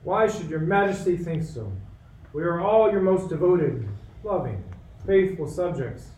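An actor playing a fussy, anxious, obsequious royal courtier in a radio play, speaking with smooth formality. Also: male voice, high-pitched, lively intonation, measured pace, a little mellow. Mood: fawning. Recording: roomy, distant mic, faint noise.

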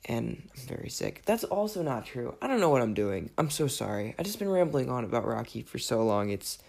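A podcaster with a raspy voice, under the weather, rambling through a self-deprecating, jokingly exasperated film rant. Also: mid-range voice, big pitch swings, brisk, a little mellow. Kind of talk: casual rambling film commentary.

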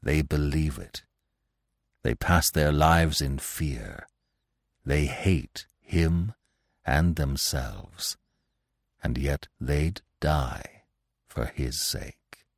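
Man voicing a slow, low, grave old stag. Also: expressive, creaky, dry recording, clean studio sound, full character voice. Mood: sombre sorrow. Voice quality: quiet, low. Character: old male stag, grave, sombre, wise.

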